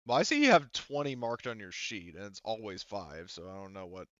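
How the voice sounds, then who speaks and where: Deep-voiced man game master, muffled recording. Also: lively intonation, a bit bright, no echo. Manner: halting, self-correcting explanations. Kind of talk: casual tabletop gaming chat.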